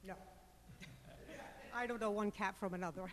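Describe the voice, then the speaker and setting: A woman's voice: mid-range voice, fairly even intonation, normal speed, a little hiss or hum. A woman resident giving a matter-of-fact report at a community meeting. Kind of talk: casual meeting remark. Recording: reverberant hall, faint background.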